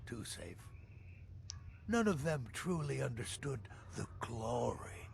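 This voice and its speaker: A crotchety old wizard, from a fantasy game. His voice is deeply creaky and swings widely in pitch as he boasts with morbid, theatrical relish. Faint noise sits behind him. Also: big pitch swings, slow pace, exaggerated voice. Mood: boastful, wry. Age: older. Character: cranky old mage uncle, boastful, morbid, aloof loner.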